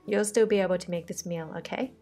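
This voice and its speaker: A woman's voice: fairly low pitch, fairly even intonation, fast, dark tone, faint music behind. A woman, the host of a cooking channel, talking through a recipe step by step in a friendly, reassuring voice-over. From Thailand.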